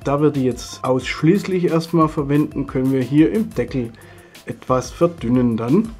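A middle-aged man, stuffy and husky from a cold, chatting his way step by step through a hands-on hobby tutorial. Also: medium pitch, lively intonation, moderate pace, darkish tone, faint music behind. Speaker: model railway hobby video host.

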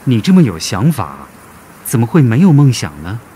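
A man's voice: medium pitch, sing-song, normal speed, warm tone, slight background noise, natural voice. A man acting a kind, encouraging male schoolteacher in a radio drama. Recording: music behind the voice.

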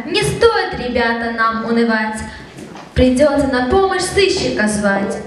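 A woman's voice: fairly high pitch, expressive, measured pace, slight background noise. A young woman presenting a children's holiday show on stage, speaking scripted lines into a handheld microphone. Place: indoor stage in a decorated hall. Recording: very echoey hall, music behind.

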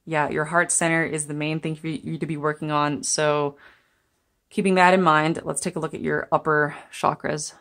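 A woman's voice: low-pitched, level tone, moderate pace. A young woman giving a chatty, meandering tarot reading to viewers, laughing now and then as she talks. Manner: chatty, meandering, gentle, laughs while talking. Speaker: tarot reader. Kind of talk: pick-a-card tarot reading. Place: indoors at a table.

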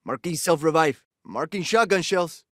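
Male actor, cocky sporty soldier, video game callouts, punchy and wisecracking, lively swooping pitch, dry recording. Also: normal speed, no echo, natural voice. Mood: businesslike. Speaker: video game operator character.

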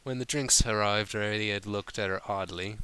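A man's voice: fairly low pitch, steady tone, a little fast, bright, forceful tone, clean tone. A man reading a story aloud informally, sometimes stumbling over his words and breaking off to comment. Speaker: fan fiction reader.

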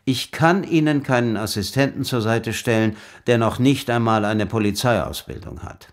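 An actor playing a firm, formal police chief in a German audio drama, brusque and authoritative. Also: male voice, mid-range voice, moderate pace, lightly acted. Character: gruff authoritative male police chief, secretly fair.

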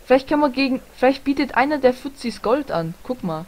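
A woman's voice: sits high, animated, brisk, darkish tone. A young woman chatting casually while playing a game with friends, teasing them, laughing often and swearing lightly. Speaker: Let's Play gamer. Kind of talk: casual gaming chat.

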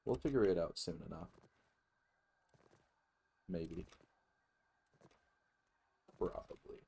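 A man's voice: low voice, animated, slow pace, gravelly, warm tone, breathy edge. A man giving casual let's play commentary as a gaming host, musing aloud in a rambling way and swearing freely.

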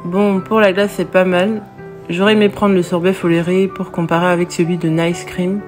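A woman's voice: lowish voice, level tone, normal speed, a little mellow, soft background music. Young woman narrating a food review. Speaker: vlogger. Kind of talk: scripted voice-over review.

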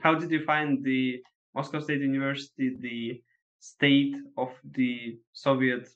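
Flat-voiced young male interviewer. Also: medium pitch, unhurried. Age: young adult. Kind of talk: interview questions. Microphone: video call webcam. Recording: dull lo-fi webcam audio.

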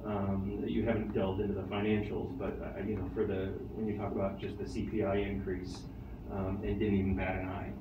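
A man talking haltingly in an informal meeting, with many pauses, recorded from across the room. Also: low voice, flat delivery. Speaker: board meeting participant giving an assessment. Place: meeting room with long table. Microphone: far camera at back of room. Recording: distant, muffled, echoey, noisy.

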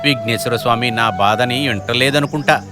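A man's voice: mid-range voice, a little fast, natural voice. A man voicing a humble, devout and poor street-food vendor, an earnest father in a cartoon moral story. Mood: pleading. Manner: earnest, pleading. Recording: loud music behind the voice.

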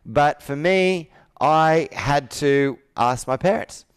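A man's voice: on the high side, slow pace, smooth, dry recording, studio quality. A man in his thirties coaching on a sales call, telling personal stories earnestly and asking persuasive rhetorical questions. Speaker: business coach. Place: indoor room with whiteboard, video call.

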